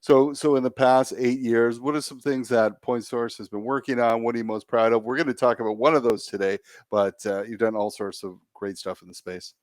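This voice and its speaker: A man's voice: mid-range voice, steady tone, brisk, few pauses, no room sound, clean studio sound. A man hosting a podcast, introducing and questioning his guest in an upbeat, encouraging way. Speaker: podcast host. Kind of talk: friendly interview hosting.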